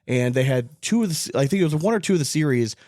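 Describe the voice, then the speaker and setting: A man's voice: on the high side, expressive, fast, a little mellow, dry recording, studio quality. An American man co-hosting a podcast in casual, rambling talk, often leaning on filler words like "like". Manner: rambling, informal, frequent "like". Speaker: podcast co-host. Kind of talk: casual chat.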